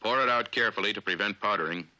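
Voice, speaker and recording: An American man narrating a 1950s instructional film in an authoritative, clipped, deliberate manner. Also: on the low side, sharp tone, no echo, lo-fi sound.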